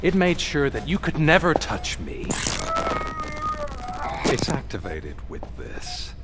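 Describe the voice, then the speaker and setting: An actor playing a smug, menacing crime boss in a video game, gloating and taunting theatrically. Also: male voice, fairly high pitch, huge pitch swings, slow, loud music behind the voice, heavily acted. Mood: quiet gloating. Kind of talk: video game cutscene acting.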